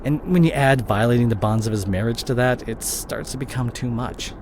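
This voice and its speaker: A man acting in an audio roleplay as a guilt-ridden disgraced CEO boyfriend, speaking to his partner in a halting, emotional way. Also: mid-range voice, close to an everyday voice. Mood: guilty, pleading. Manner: halting, emotional, repeats and breaks off words. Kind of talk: dramatic audio roleplay monologue addressed to the listener. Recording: clear vehicle noise behind the voice. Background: car ambience.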